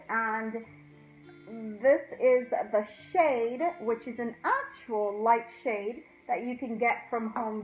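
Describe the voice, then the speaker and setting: A middle-aged woman hosting a casual craft tutorial, chatting informally and directly to her viewers. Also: a little high. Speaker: host of a craft channel. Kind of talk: casual DIY tutorial chat. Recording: roomy echo, faint music.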